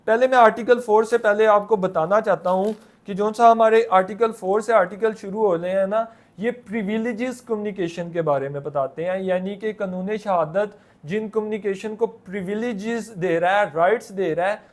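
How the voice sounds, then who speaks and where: A man with a Pakistani accent teaching law, explaining step by step in simple terms and often repeating himself. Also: high voice. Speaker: law lecturer.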